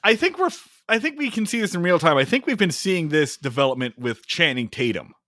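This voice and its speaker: A man's voice: fairly high pitch, fairly quick, no echo, clean studio sound. A man co-hosting a podcast, casually recapping an old comedy film and acting out the scenes in silly voices. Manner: animated, acts out scenes in funny voices, swears. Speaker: podcast co-host.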